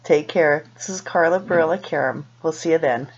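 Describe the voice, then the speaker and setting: Middle-aged woman vlogger, American accent, native Spanish speaker. Low register, loose and hesitant delivery, muffled lo-fi webcam capture. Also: brisk, husky. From Los Angeles, USA, born in Peru. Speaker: video blogger. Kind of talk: casual talk to camera. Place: small room indoors.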